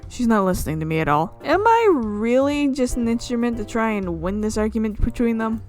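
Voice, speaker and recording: A woman streamer reading a visual novel aloud in an expressive storytelling way, with asides. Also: on the high side, a little fast. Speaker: video game streamer. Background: game music.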